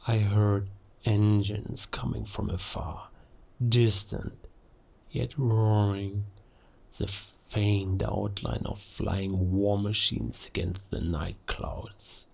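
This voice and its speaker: Casting: male actor for grandiose werewolf king villain, lowish voice, very drawn-out menacing delivery, audio roleplay. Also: gravelly, breathy edge, heavily acted. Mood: hushed awe. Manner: slow, theatrical, deliberate, with hushed dramatic pauses.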